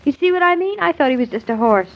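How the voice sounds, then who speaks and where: Naive young wife, high girlish voice, old radio drama, muffled lo-fi 1940s sound. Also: animated, fast talker. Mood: naive, playful. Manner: girlish, guileless questioning. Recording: dull, lo-fi 1940s radio broadcast.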